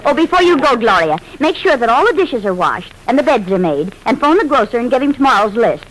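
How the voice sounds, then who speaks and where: Animated, wry sitcom wife. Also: female voice, a little high, quick pace. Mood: sensible, teasing. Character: sensible, wry wife and lady of the house. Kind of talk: 1940s radio sitcom acting. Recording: noisy vintage radio recording.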